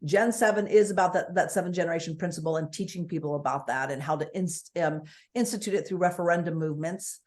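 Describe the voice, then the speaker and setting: A woman with a booming voice, a guest speaking forthrightly and with energy in an interview over a video call. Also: quick pace. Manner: forthright, energetic, piles on points.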